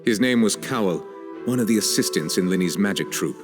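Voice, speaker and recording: An actor playing a stern, composed chief justice in a game cutscene, measured and commanding. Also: male voice, fairly low pitch, expressive, natural voice. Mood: grave. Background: music score.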